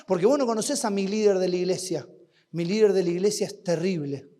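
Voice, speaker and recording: Man, Argentine accent, sermon, rhetorical questions, loud then hushed, long hall echo. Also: high-pitched, fairly quick. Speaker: preacher. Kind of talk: sermon at a youth camp.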